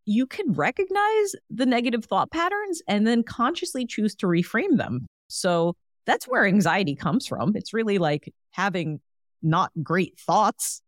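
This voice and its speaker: A woman's voice: lowish voice, dry recording, clean studio sound. A woman hosting a solo wellness podcast, talking in a chatty, encouraging way with frequent fillers. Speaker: podcast host.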